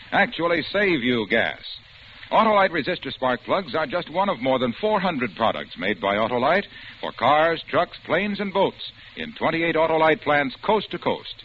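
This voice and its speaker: A man announcing and reading sponsor copy on an old radio broadcast, in a brisk, salesy style. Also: on the high side, dull audio, slight background noise.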